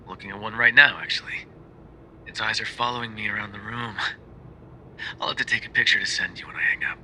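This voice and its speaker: A man's voice: mid-range voice, sharp tone, a little hiss or hum, low-quality recording. A man playing a lovesick, jealous mafia boyfriend in an intimate ASMR roleplay monologue, conversational and sardonic, dropping into whispers.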